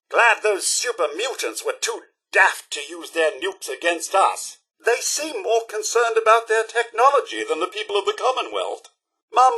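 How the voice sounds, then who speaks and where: A man's voice: sits high, pushed and bright, breathy edge, heavily acted, poor audio. A man voicing a prim, loyal, fretful robot butler in a video game, with a British accent and formal, deferential manners. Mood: concerned. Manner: formal, deferential, theatrically polite. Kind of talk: scripted video game dialogue.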